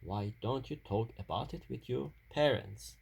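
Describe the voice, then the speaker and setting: A man voicing a patient, gently firm male violin teacher in a children's storybook, speaking in a measured way. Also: medium pitch, vocal fry, husky. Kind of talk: children's storybook character reading.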